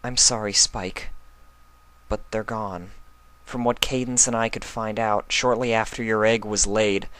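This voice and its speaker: A man voicing a caring, anxious young female unicorn with a gentle, emotional, pleading manner. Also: fairly low pitch, steady tone, a little slow, clear, resonant tone, voice pushed up, poor audio. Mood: apologetic. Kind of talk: acted fan fiction reading.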